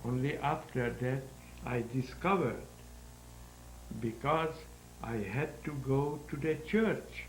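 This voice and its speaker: Elderly male guest, halting phrasing, slow and creaky, echoey room. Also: medium pitch. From Hungary. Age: 90s. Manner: halting, searching for words, non-native grammar. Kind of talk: interview answers. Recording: roomy echo with faint hum.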